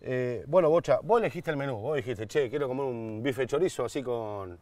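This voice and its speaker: A man's voice: mid-range voice, brisk, a little mellow, no echo, recorded from a distance. A man in his forties with an Argentine accent, hosting an outdoor cooking show in a chatty, joking way full of slang. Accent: Argentine Spanish. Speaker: host. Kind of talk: casual cooking-show chat. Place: outdoor garden bar.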